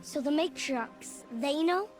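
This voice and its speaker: A very high, childlike voice for a stubborn outcast girl in a game cutscene. She is insistent and blunt, speaking in slow, deliberate bursts over clear background music. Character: stubborn young outcast girl, determined and questioning. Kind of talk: video game cutscene acting.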